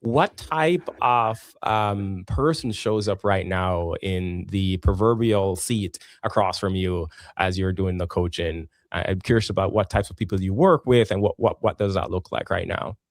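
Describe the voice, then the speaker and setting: Low-voiced man hosting, dry close sound. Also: a little slow, few pauses, no room sound. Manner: warm welcoming, curious questioner. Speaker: podcast host. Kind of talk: casual interview hosting.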